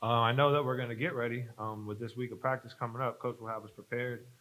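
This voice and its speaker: A man's voice: medium pitch, fairly even intonation, fast, pushed and bright, poor audio. A young man, a college basketball player, earnestly explaining the game to reporters at a press conference, speaking into a desk mic. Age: young adult. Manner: earnest, explanatory, some fillers. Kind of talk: postgame press conference answers. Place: press conference room, seated at a table. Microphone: desk mic on a stand.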